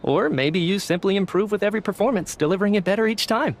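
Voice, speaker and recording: A man's voice: high voice, quick pace, a little hiss or hum. A man playing an eager, talkative, schedule-bound expert in a video game, earnest and easily flustered, given to gushing. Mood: earnest, admiring. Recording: music under the voice.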